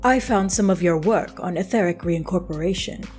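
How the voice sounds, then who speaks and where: A woman's voice: on the low side, moderate pace, darkish tone, faint music behind, close to an everyday voice. A woman playing a determined, guarded necromancer posing as a professor, direct and insistent, in an audio story. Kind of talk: scripted audio story acting.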